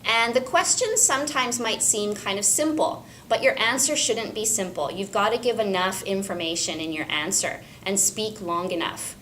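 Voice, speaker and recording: Clear, practical woman English teacher. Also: mid-range voice, moderate pace, hardly any pauses, a bit bright, slight background noise, rough recording. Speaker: English instructor. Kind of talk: teaching tip for a school video.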